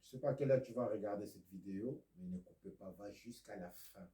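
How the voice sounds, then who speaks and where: A man from Congo preaching a video sermon, a speaker of Lingala and Kikongo who swings from loud declaiming to near-whispers. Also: fairly low pitch, brisk, echoey room.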